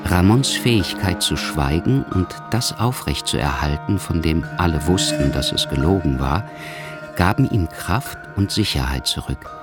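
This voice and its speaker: Narrator for radio drama: low-pitched, sober, measured scripted storytelling. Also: male voice, animated, music in the background. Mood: measured, serious.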